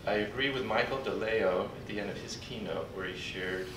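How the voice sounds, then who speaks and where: An older man speaking at a podium in a large conference hall, introducing a colleague in a folksy, halting way with pauses. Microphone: podium mic, recorded from afar by a computer. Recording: distant echoey hall recording with background rumble.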